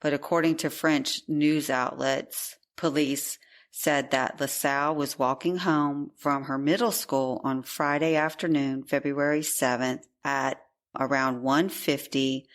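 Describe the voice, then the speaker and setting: Low-voiced woman recounting a crime case. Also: steady tone, measured pace. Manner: chatty, laughs easily. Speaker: podcast co-host. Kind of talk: reading true crime news in a conversational way.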